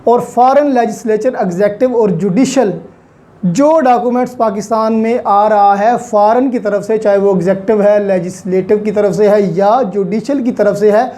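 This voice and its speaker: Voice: mid-range voice, dark tone. A law lecturer with a Pakistani accent explains in a didactic way, repeating key points and mixing in English legal terms.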